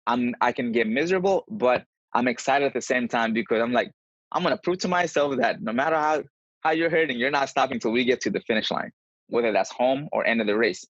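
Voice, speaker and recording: A man's voice: medium pitch, fairly bright tone, dry recording. A man talking casually and earnestly as an interview guest, telling his own experiences over a video call. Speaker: podcast guest, endurance runner. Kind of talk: casual conversational interview answers. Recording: dull lo-fi video call audio.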